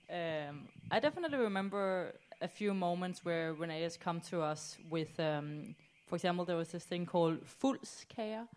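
A woman from Denmark with a fairly low voice explains dishes on a stage panel. She is friendly and easy, pausing on fillers as she goes. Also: lively intonation, darkish tone. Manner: friendly, explaining with small laughs. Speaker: chef on a panel. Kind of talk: casual panel answer.

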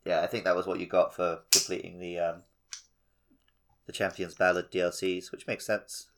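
A man's voice: low voice, flat delivery, normal speed, a bit bright. A man co-hosting a podcast, chatting casually in a tentative, conversational way. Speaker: podcast co-host. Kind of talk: casual chat.

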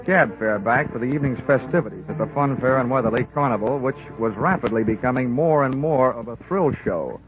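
Male actor playing hard-boiled American investigator, deadpan and wisecracking, vintage radio drama, narrow old recording with music behind. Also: medium pitch, fairly quick, few pauses, natural voice. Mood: wry, narrating. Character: wry, hard-boiled male insurance investigator narrating his case. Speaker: lead actor and narrator. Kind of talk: 1950s radio detective drama acting.

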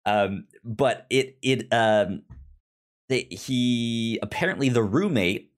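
A man's voice: mid-range voice, lively intonation, slow. A man hosting a casual review podcast, stammering and often repeating syllables and words as he talks. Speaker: podcast host.

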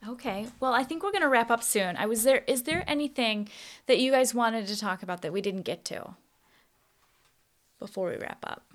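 A woman's voice: fairly high pitch, expressive, moderate pace. A woman hosting a casual interview, talking informally in meandering, wordy sentences. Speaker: podcast host.

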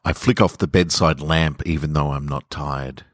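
A man voicing a lonely, sleepless lover in a brooding, measured first-person story reading. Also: extremely low pitch, fairly even intonation, dry recording, clean studio sound. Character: lonely, sleepless lover lying in a hotel bed.

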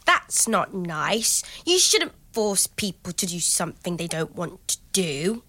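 A woman's voice: on the low side, big pitch swings, measured pace, pushed and bright, full character voice. A woman playing a curious, earnest young boy in an audio drama, eager and innocent as he listens to his grandmother. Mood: concerned.

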